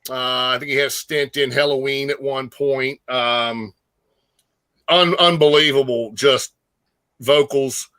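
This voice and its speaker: Male co-host for casual music talk: forceful, close and dry sound, halting but keen fan delivery. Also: medium pitch, fairly even intonation, smooth, no echo. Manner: enthusiastic, halting, slangy. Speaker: guest co-host, metal fan.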